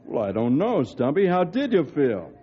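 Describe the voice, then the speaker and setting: An actor playing an anxious but genial colonel in an old time radio drama. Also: male voice, on the high side, huge pitch swings, moderate pace, slightly rough, faint music behind, full character voice. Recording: muffled old radio recording, background hubbub.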